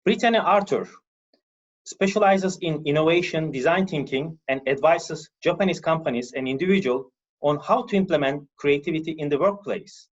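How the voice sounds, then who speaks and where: Guy hosting an interview, voice a bit on the high side, dull lo-fi sound. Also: moderate pace. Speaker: interview host. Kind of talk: introducing and questioning a guest.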